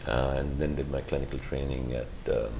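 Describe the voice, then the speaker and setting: Very deep, gravelly man. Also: little pitch movement, background noise. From Pakistan. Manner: halting, measured, many fillers and restarts. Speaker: guest, physician. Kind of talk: interview answers.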